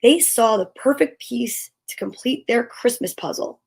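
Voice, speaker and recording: A woman storyteller with a fairly high, husky voice reads a children's tale aloud. She is expressive and leaves dramatic pauses between short, emphatic phrases.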